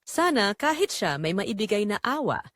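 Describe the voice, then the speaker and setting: Female storyteller for a romantic tragedy: emotive, sorrowful, highly melodic delivery, dry close sound. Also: very animated, moderate pace, fairly bright tone, no room sound. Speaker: first-person story narrator. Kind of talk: dramatic scripted romance drama narration.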